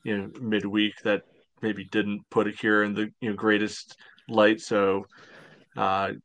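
A man's voice: on the low side, completely flat delivery, measured pace, a bit bright, no echo. A man co-hosting a soccer podcast, discussing the sport in a casual, conversational style.

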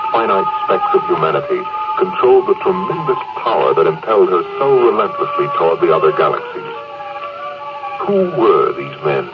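Deep, portentous old-time radio announcer. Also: male voice. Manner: grave, portentous, deliberate. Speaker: announcer and narrator. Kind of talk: dramatic 1950s radio narration. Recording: muffled old radio recording. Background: loud dramatic music.

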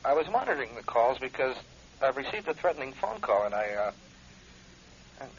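A man's voice: mid-range voice, very animated, brisk, a little hiss or hum. An actor playing a young male photographer in a radio drama, heard through a telephone. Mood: nervous, pleading. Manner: nervous, stammering. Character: spooked, busy young male photographer seeking help. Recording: dull, harsh telephone line sound.

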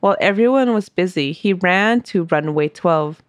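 A woman with a fairly low voice narrates a scripted history tale as the host of her podcast. She sounds stuffy from a cold and keeps a steady storytelling pace. Faint music plays softly behind her. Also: normal speed, no echo, studio quality.

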